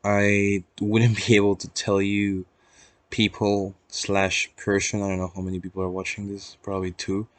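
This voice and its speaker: Low-voiced young man with a Peruvian accent. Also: completely flat delivery, no echo. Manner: reflective, hesitant, rambling with long pauses; swears casually. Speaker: vlogger. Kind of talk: casual unscripted personal vlog monologue.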